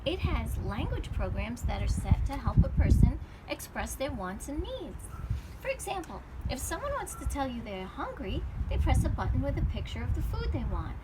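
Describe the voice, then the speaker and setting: Woman as a patient, animated storybook schoolteacher. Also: high-pitched, moderate pace. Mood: explaining. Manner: reassuring, explanatory, encouraging. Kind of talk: storybook read-aloud character voice. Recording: loud background noise.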